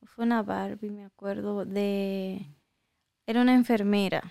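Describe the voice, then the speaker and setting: A woman's voice: mid-range voice, fairly even intonation, creaky, mellow, clear, resonant tone, no room sound, studio quality. A young Dominican woman with a Dominican Spanish accent, reminiscing unhurriedly in a casual chat. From Dominican Republic. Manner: unhurried, reminiscing with pauses and restarts.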